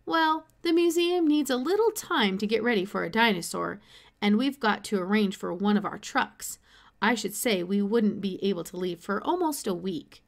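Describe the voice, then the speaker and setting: Woman as a calm, measured museum scientist. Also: mid-range voice, gravelly. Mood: matter-of-fact. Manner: measured, politely formal. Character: calm, kindly male museum scientist, a doctor.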